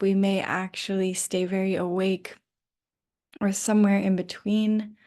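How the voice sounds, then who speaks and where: A woman leads a guided rest meditation at a very slow pace, leaving long, unhurried pauses. Her voice has a pronounced vocal fry and slips into breathy, almost whispered phrase endings. The delivery stays gentle throughout. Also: medium pitch. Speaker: yoga nidra guide.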